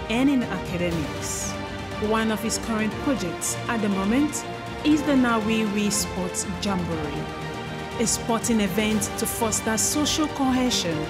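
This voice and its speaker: A woman presenting a television programme in a formal announcer manner. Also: on the high side. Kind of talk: scripted introduction and interviewing.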